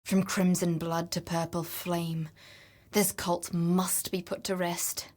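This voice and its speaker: A woman's voice: fairly low pitch, little pitch movement, takes it slowly, darkish tone. A woman playing a wry, greedy female explorer and treasure hunter in a fantasy video game, with a dry, teasing, conversational manner. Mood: sober, troubled. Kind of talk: video game character voice lines.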